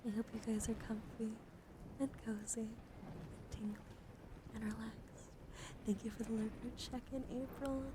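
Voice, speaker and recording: A soft-spoken woman livestreaming in a gentle, soothing ASMR style, often slipping into whispers as she chats casually, close to the microphone. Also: fairly high pitch, steady tone, moderate pace, long pauses, noisy background. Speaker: livestream host showing trading cards.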